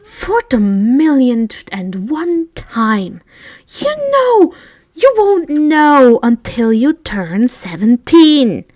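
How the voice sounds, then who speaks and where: A woman's voice: sits high, very animated, extremely slow, a little mellow, no echo, studio quality, full character voice. A woman voicing a haughty, exasperated teenage schoolboy in a storybook, snappy and emphatic.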